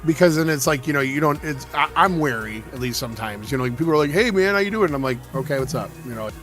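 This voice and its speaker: An American man in a casual chat, thoughtful, often trailing off softer mid-sentence. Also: on the high side, expressive, quick pace, soft background music. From United States.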